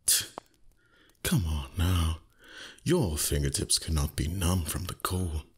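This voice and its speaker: Haughty male dragon played by a man for ASMR roleplay: extremely low, slow delivery, condescending and teasing, secretly fond. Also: full character voice. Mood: impatient, teasing. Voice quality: very deep, husky, breathy. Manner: slow, condescending, flustered tsundere pauses. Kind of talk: intimate roleplay ASMR acting.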